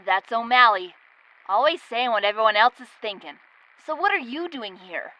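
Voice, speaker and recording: A woman's voice: on the high side, lively intonation, normal speed, muffled recording, lightly acted. A woman playing a blunt schoolboy ballplayer in an old-time style radio comedy sketch. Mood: blunt, skeptical.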